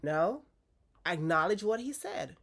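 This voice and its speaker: A woman's voice: fairly low pitch, big pitch swings, a little slow, gravelly, fairly bright tone. A woman in her forties, stuffy from a cold, giving direct, coaching relationship advice to her viewers and checking in with a frequent "okay?". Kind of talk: casual advice talk to viewers.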